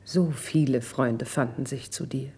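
An actress playing a consoling, devoted lady friend of a princess, speaking formal measured verse in a classical radio drama with a dark, husky voice. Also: a bass voice, exaggerated voice. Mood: encouraging.

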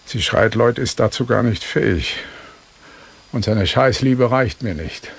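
An actor playing an older, loyal and blunt Los Angeles cop in a radio drama, with a gravelly, husky voice and a wry conversational manner. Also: male voice, mid-range voice, expressive, measured pace, heavily acted. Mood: confiding. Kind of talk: German radio drama acting.

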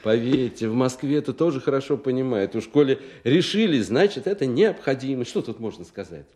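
An actor playing a brusque, authoritative Soviet colonel in a radio drama, speaking in a firm, clipped, insistent way. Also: male voice, mid-range voice, animated, a little fast, a little mellow, lightly acted. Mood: grave, firm.

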